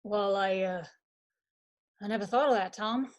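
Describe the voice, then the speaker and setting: A woman's voice: medium pitch, dull audio, natural voice. A woman playing a muddled, hesitant male amateur detective in an amateur radio-style mystery. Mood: flustered. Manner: halting, flustered.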